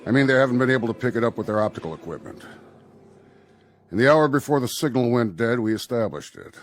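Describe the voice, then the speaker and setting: An actor playing a stern Air Force general in a radio drama, speaking in a deliberate, formal, official manner. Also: male voice, medium pitch, lively intonation, slight background noise, natural voice. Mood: grave, guarded.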